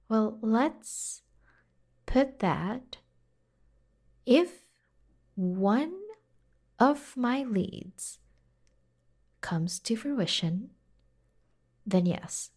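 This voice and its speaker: A woman playing a caring, teasing secretary in an ASMR roleplay, speaking softly and gently, often dropping to a whisper. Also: mid-range voice. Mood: playfully demanding. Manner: slow, gentle, playful, intimate. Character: caring, teasing, devoted young female secretary fussing over her boss. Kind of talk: soft-spoken ASMR roleplay.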